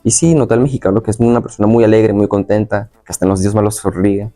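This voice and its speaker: A man's voice: lowish voice, fairly even intonation, fast, warm tone, faint music behind. A young man from Venezuela answering as an interview guest, casually recalling his experiences in a slightly hesitant, circling way. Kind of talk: casual personal recollection.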